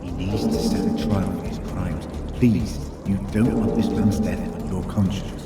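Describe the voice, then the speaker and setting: A man's voice: deep voice, fairly even intonation. Old, authoritative male time-traveller for audio drama, acted by a man; dry wit, music behind, echoey room. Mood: urgent, pleading. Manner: wry, measured, authoritative. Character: very old male time-traveller hero, wry, righteous, commanding. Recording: roomy, distant, with music behind.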